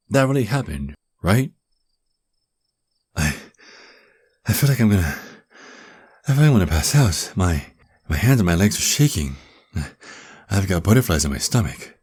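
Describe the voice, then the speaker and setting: A young man acting a shy, flustered guy in love with his best friend in an ASMR roleplay, speaking softly and hesitantly with stammers. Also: low-pitched, heavily acted. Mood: overwhelmed, stammering. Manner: hesitant, stammering, breathy sighs and chuckles. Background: crickets chirping.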